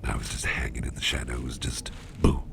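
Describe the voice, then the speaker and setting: A man voicing a mischievous boss with sharp teeth in an ASMR roleplay, talking in a soft, teasing half-whisper. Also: extremely low pitch, little pitch movement, normal speed, vocal fry, noisy background, heavily acted. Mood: playful.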